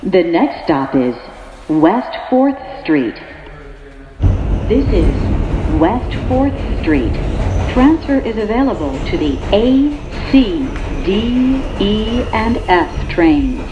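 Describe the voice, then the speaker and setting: A woman reading scripted automated subway announcements in measured, segmented phrases over a train's speakers. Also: medium pitch, sing-song. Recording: echoey muffled train PA, loud vehicle noise.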